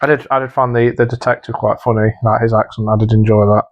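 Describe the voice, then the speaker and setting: A man's voice: lowish voice, little pitch movement, quick pace, no room sound, muffled recording. A man judging entries on a podcast, talking casually in a hesitant, polite way. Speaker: podcast judge of audio tasks. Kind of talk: casual unscripted podcast chat.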